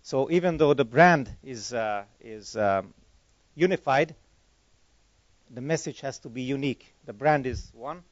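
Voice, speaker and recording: A man's voice: mid-range voice, no room sound. Guy fielding questions at a conference, rambly, keeps fixing his words, dry dull lo-fi sound. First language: Hungarian. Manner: chatty, rambling, self-correcting. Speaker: conference speaker. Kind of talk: conference Q&A answers.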